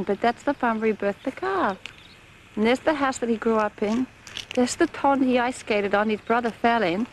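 Woman, slightly high voice, chatty home video commentary, faint noise behind. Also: low-quality recording. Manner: chatty, pointing things out.

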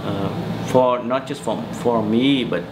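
A man in his forties from Vietnam with a Vietnamese accent, recounting memories in a halting, hesitant way as he searches for words in an interview. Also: medium pitch, background noise. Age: 40s. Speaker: interviewee, musician. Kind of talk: oral history interview, personal recollection. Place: small bedroom, indoors.

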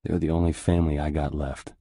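A haunted young American squad leader telling his war story in a very low, husky voice. He is introspective and measured, with a crisp bright edge, close and dry over music, in a war game's cutscene narration. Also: level tone, no room sound. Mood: grieving. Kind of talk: scripted first-person war narration.

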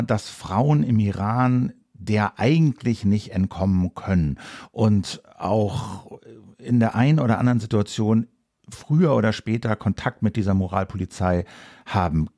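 A man co-hosting a political news podcast, talking briskly and conversationally and introducing topics and a guest. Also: mid-range voice, gravelly, mellow, no room sound, studio quality. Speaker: podcast co-host, journalist.

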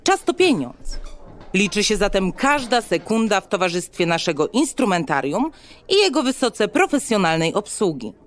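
A woman acting as an officious female receptionist in a futuristic radio play. She delivers formal, bureaucratic patter with a haughty, brisk edge. Her pitch sits in the middle of the female range. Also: big pitch swings, lightly acted. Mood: officious, salesy. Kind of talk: Polish audio drama acting.

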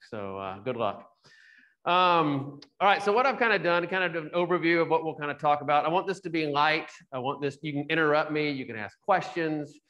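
Brief: middle-aged doctor, loud voice, easygoing talk to students. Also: male voice, medium pitch. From Texas. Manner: loud, self-deprecating. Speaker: guest speaker, physician. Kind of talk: casual talk to a student audience. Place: large lecture hall.

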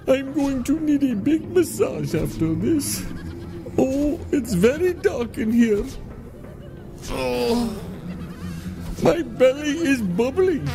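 Very high, whiny, timid nephew. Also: male voice, exaggerated voice.

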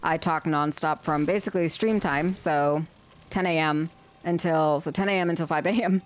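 A woman's voice: deep voice, fairly even intonation, normal speed. A young woman streaming a game from home, chatting to herself as she builds, her voice a little worn. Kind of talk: casual gameplay commentary.

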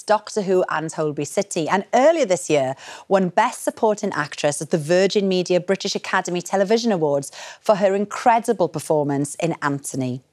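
A poised woman in her forties reads an awards introduction from a studio stage. Her voice sits a touch low and sounds close and dry. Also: no echo. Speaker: awards host. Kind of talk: scripted presenting.

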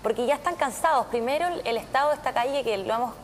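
A woman's voice: high voice, fast, slight background noise. A woman commenting emphatically as a studio news anchor.

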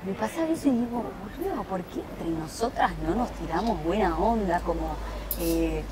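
A woman's voice: mid-range voice, lively intonation, moderate pace, a loud crowd. A young woman in her twenties with an Argentine accent, chatting casually as a guest on an outdoor terrace, full of fillers and restarts. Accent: Argentine Spanish. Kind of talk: casual chat. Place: outdoor terrace, seated at a table. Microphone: lapel.

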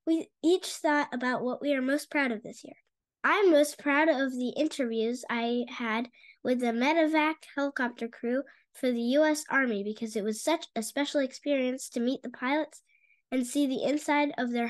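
A young teenage girl reading a scripted news intro as an anchor over a webcam, in a bright, eager way. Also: high-pitched.

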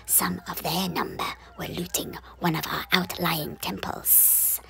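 A man voicing a small, cold-blooded lizardman interpreter with a hissing rasp and terse, solemn speech, in a fantasy audio play. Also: high-pitched, flat delivery, measured pace, bright, forceful tone, pitched above the performer's own voice, exaggerated voice. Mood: urgent. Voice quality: hissing, creaky whispered rasp. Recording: music behind the voice.

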